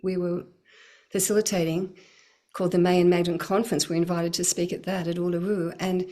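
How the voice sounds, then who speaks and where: A woman's voice: fairly low pitch, little pitch movement, a little fast, dark tone. A middle-aged woman speaking earnestly about spiritual healing work, with a flowing manner and frequent laughs. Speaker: spiritual teacher, guest. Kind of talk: casual spiritual talk.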